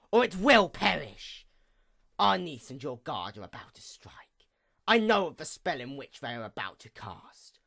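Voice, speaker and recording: A woman voicing a proud, wounded pony goddess of night in a theatrical villain monologue, menacing and grandiose with a gravelly creak. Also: fairly low pitch, exaggerated voice. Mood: grim resolve. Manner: theatrical, menacing, grandiose, with slow drawn-out emphasis. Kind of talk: dramatic villain monologue, fan fiction reading.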